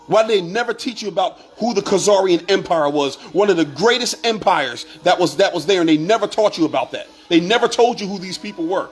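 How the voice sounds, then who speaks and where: Fired-up man preaching to viewers. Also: sits high. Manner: preachy, repetitive, rising to shouts. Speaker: online commentator. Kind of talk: impassioned monologue to viewers.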